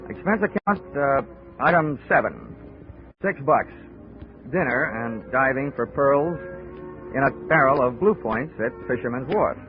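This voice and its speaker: An actor playing a wry, hardboiled investigator with deadpan wisecracks in a 1940s radio drama. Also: male voice, medium pitch, a little slow, phone-quality sound, faint music behind. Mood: dry, wry. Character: wry, hardboiled male insurance investigator narrating his case. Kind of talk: 1940s radio acting, detective narration. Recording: band-limited old radio recording.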